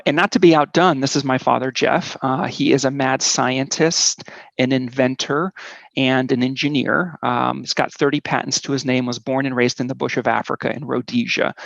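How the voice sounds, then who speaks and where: A man's voice: mid-range voice, gravelly, fairly bright tone, no echo, muffled recording. A man in his forties, raised in Switzerland, telling his personal story in a conversational talk, with many "um"s and a tag of "right?". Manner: conversational, frequent "right?" and "um" fillers. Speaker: guest speaker.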